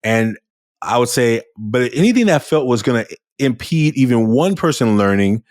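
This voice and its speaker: A man hosting an interview podcast, talking in a loud, animated way with frequent restarts. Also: medium pitch, normal speed, dry recording, studio quality. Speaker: podcast host. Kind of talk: casual interview chat.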